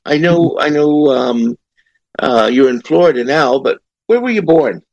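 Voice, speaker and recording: A man hosts the interview, chatty and animated, his voice swinging up and down with a gravelly edge. The recording is dry and close. He asks his questions loosely, with little asides. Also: a little high, unhurried, dry recording, muffled recording. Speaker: interview host.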